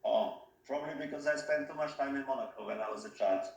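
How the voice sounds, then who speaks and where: A man from Austria, a company head being interviewed, speaking in a halting way and often restarting his phrases. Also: mid-range voice. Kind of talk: casual interview talk. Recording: roomy echo.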